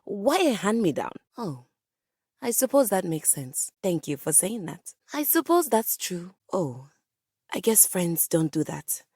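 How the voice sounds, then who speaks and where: A living grand piano from a video game, a proud diva composer with a lowish, slightly husky voice. She speaks formally and with great drama, swooping widely in pitch. The sound is close and dry. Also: measured pace, no echo, heavily acted. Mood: earnest, apologetic. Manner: refined, formal, theatrical, grandly expressive. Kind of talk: theatrical video game character dialogue.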